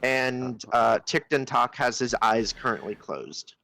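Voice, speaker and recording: Bright-voiced man at a tabletop game. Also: mid-range voice, measured pace, creaky, low-quality recording. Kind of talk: casual game chat.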